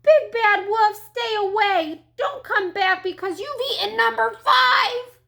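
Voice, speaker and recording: A woman's voice: very high-pitched, takes it slowly, vocal fry, bright, forceful tone, pitched above the performer's own voice, full character voice. A woman voicing taunting little chicks and ducklings in a storybook, chanting in singsong.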